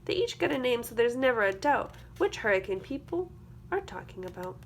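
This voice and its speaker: Higher-voiced woman reading a children's book aloud. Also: a little fast. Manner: rhythmic, expressive delivery of rhyming verse with explanatory asides. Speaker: teacher reading aloud to a class. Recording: clear background noise.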